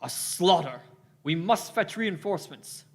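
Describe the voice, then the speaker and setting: Man acting a high-pitched commanding Egyptian war leader. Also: expressive, measured pace. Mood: outraged, resolute. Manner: barking, declamatory orders. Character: stern, commanding ancient Egyptian military leader. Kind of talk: amateur radio play performed live.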